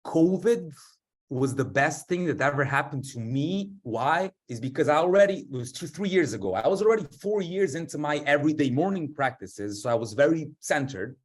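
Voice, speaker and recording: A man's voice: a little high, animated, moderate pace. Man, rambling and informal. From Serbia. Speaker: guest, author and breath work and meditation expert. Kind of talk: casual personal storytelling to an audience.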